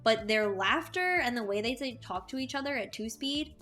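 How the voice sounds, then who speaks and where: High-voiced, enthusiastic woman reviewing books. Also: animated, moderate pace, pushed and bright, soft background music. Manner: enthusiastic, emphatic. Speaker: co-host of a book review show. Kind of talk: casual chatty book review.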